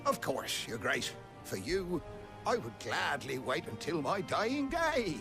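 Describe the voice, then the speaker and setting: A man's voice: fairly high pitch, sing-song, music in the background, exaggerated voice. A man acting a frail, devoted old servant in a video game, humble and reverent in manner. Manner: slow, reverent.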